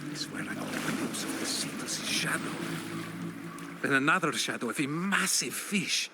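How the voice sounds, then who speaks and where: An actor playing an eccentric, dazed old fisherman in a video game, with theatrical, halting delivery full of exclamations. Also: a little high, animated, heavy background noise, lightly acted. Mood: wistful, mysterious. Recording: loud music behind the voice.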